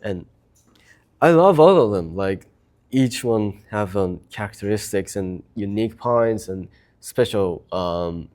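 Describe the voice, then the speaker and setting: A man's voice: fairly low pitch, expressive, takes it slowly, darkish tone. A man from Japan, a native Japanese speaker with a Japanese accent, chatting casually and enthusiastically as a guest. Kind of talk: casual chat.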